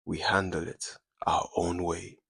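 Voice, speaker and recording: Man playing a grim, deep-voiced underworld fixer. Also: level tone, vocal fry, slightly rough, no echo, clean studio sound, full character voice. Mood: dark, firm. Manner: dark, measured, decisive. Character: grim, hard-nosed Nigerian man with underworld connections.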